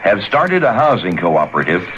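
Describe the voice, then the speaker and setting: A man's voice: low-pitched, sing-song, normal speed, a bit bright. A man reading scripted narration for a radio public service announcement. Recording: dull 1970s radio, faint noise.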